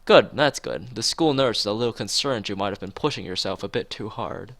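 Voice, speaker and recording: A man's voice: fairly low pitch, fairly quick, vocal fry, a bit bright, no echo. A man voicing a slightly scatterbrained, earnest male school teacher in a visual novel, questioning and rambling toward his point. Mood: concerned, serious.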